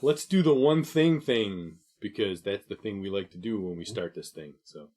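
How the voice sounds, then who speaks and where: A man from Buffalo, New York, co-hosting a podcast, chatting in a relaxed way with frequent laughs and loudness that rises and drops. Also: lowish voice, moderate pace. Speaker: podcast co-host. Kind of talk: casual chat.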